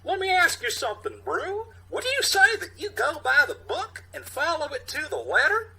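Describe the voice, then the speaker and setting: Man putting on a high, forceful buddy cop. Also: huge pitch swings, moderate pace, vocal fry, heavily acted. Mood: indignant. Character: squabbling male buddy cops, one by-the-book, one stern hothead. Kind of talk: mock cop show script reading.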